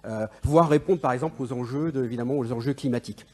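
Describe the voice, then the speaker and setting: A man pitching his startup on stage, hesitant, with many fillers and restarts. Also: quick pace, darkish tone. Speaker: startup founder. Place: event stage.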